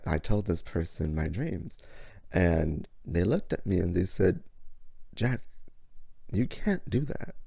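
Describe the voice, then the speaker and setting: A hoarse, raspy man hosts a motivational talk with sassy, theatrical flair, calling the listener honey and darling. His voice sits low and gravelly. The sound is close and dry, with no room around it. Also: a little mellow, dry recording. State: hoarse from illness. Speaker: podcast host. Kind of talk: motivational monologue.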